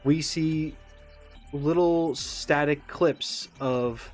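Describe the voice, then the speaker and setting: Man musing in unhurried, casual commentary. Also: fairly high pitch, fairly even intonation, faint music behind. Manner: musing, speculative. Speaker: YouTube reaction host.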